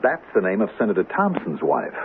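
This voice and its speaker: On a muffled, faintly hissy vintage radio recording, an earnest male senator. His voice is fairly low and lively in intonation, modest and questioning with a touch of dry wit. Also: a little fast. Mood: bemused. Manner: earnest, questioning, mildly wry. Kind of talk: old-time radio drama acting. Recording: muffled, noisy old radio broadcast.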